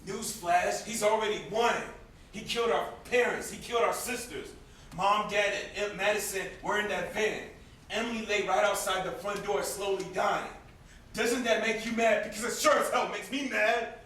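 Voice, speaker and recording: Echoey noisy stage recording, young man acting vengeful grieving brother, high-pitched voice, confrontational, goading. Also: steady tone, moderate pace, a bit bright, far from the mic. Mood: bitter anger. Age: young adult. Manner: insistent, confrontational. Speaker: student stage actor.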